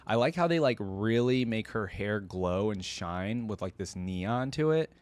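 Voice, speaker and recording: Male reaction host, lowish voice, slangy off-the-cuff enthusiasm, dry close-miked sound. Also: lively intonation, measured pace, a little mellow, clean tone, no room sound. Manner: informal, slangy, rambling, enthusiastic. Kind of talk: casual unscripted reaction commentary.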